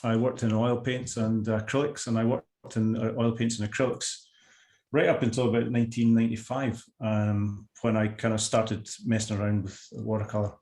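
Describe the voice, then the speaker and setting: A man in his sixties from Scotland with a Scottish accent, a guest artist chatting over a video call in an unhurried, self-deprecating way with many restarts. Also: lowish voice, little pitch movement, a little mellow, echoey room. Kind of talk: casual interview chat.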